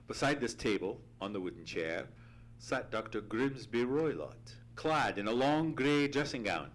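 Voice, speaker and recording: A man acts out an earnest gentleman doctor telling a mystery for old-time radio. His middle-pitched voice is formal and theatrical, built on suspense and easily stirred to horror. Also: expressive, measured pace, lightly acted. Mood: tense, suspenseful. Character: Doctor Watson, male narrator, earnest, easily horrified. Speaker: narrator. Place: theatre stage, live radio play.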